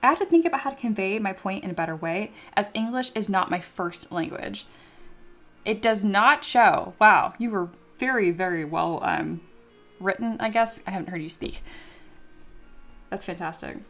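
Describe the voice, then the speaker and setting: Young female streamer, bubbly and excitable, reads chat aloud, faint music underneath. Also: mid-range voice, normal speed. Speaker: streamer, 3D sculptor. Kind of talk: casual livestream chat with viewers.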